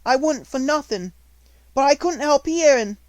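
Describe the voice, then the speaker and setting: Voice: high voice, steady tone, measured pace, no echo, voice pushed up. A sobbing little scullery maid. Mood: pleading. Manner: sobbing, begging, rough ungrammatical speech. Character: poor young servant girl, tearful and pleading.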